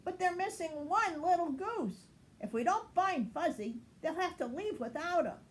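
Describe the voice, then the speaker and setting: A woman's voice: extremely high pitch, lively intonation, a little slow, slight background noise, voice pushed up. A woman voicing an earnest young boy who leads a storybook rescue team with urgent instructions. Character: small excitable young boy leader rallying a rescue team.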